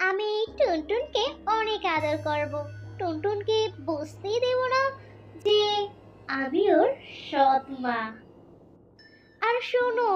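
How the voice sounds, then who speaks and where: A woman's voice: extremely high pitch, full character voice. A woman voicing a cruel, scheming young stepmother in a fairy-tale cartoon, with a bullying, scolding manner. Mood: falsely sweet. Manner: scolding, contemptuous rhetorical questions. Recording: dull lo-fi cartoon track with music.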